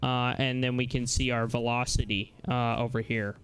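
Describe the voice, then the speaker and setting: A man hosting a casual live software demonstration, talking haltingly as he thinks aloud. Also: mid-range voice, level tone, smooth. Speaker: live stream host.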